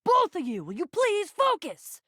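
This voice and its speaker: A woman's voice: very high-pitched, huge pitch swings, fairly bright tone, no room sound, studio quality, heavily acted. A young American woman voicing a bossy, sarcastic, theatrical and easily flustered female adventurer in a video game. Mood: exasperated, pleading. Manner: theatrical, exasperated, quick to swing between bravado and fluster.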